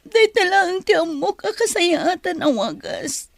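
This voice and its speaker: A woman's voice: extremely high pitch, sing-song, unhurried, gravelly, dry recording, studio quality, heavily acted. A woman acting a sorrowful, pleading young mother in an Ilocano audio drama.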